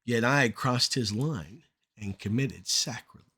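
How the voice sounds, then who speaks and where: A middle-aged man performing rhymed spoken-word poetry in a measured, deliberate delivery with short phrases. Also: mid-range voice, sharp tone, husky.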